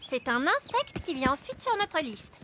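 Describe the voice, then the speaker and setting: A woman playing a brisk schoolteacher in a cartoon, instructing small children. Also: high voice, big pitch swings, noisy background. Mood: brisk, instructive. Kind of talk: cartoon voice acting in French.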